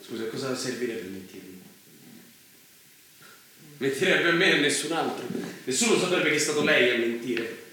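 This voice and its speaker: Sharp-voiced, insistent journalist. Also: male voice, mid-range voice, lively intonation, moderate pace, long pauses. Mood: indignant, confronting. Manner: insistent, challenging. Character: indignant, principled male journalist confronting a powerful leader. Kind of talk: stage drama dialogue. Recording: very echoey room, faint noise.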